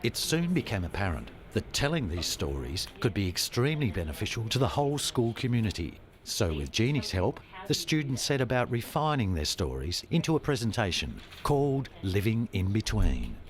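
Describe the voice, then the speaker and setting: A man's voice: lowish voice, big pitch swings, normal speed, few pauses. A man reading scripted documentary narration.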